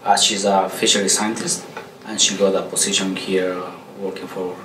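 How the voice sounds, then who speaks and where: A man, speaking in a relaxed, friendly way in a documentary interview. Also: low voice, normal speed. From Ecuador. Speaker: immigrant interviewee. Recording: roomy room, distant mic, faint noise.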